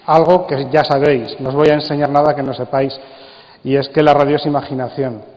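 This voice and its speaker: A man with an accent from Spain gives a live, interactive talk to an audience, with measured, playfully theatrical phrasing. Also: a little high. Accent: Spanish from Spain. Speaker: speaker and coordinator at a radio drama congress. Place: large indoor congress hall. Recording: dull, echoey hall recording with faint noise.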